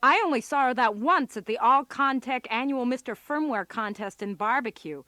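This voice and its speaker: A woman's voice: fairly high pitch, lively intonation, normal speed, sharp tone, no room sound. Sardonic woman playing a female office worker, dry sound. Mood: politely puzzled. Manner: wry, muttering asides. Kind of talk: comic radio drama acting.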